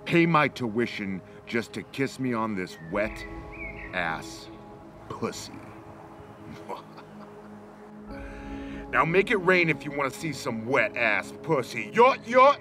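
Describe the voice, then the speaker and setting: A man's voice: mid-range voice, very animated, slow pace, pauses often. A man in his forties giving an emphatic, theatrical mock-dramatic reading of rap lyrics. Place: outdoors among trees. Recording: music under the voice. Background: music.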